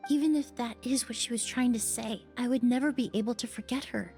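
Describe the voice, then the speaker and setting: A woman voicing a calm, reflective female perfumer in a video game, speaking in a soft, thoughtful, measured way. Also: fairly high pitch, soft background music, lightly acted. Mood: somber. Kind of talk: game voice acting.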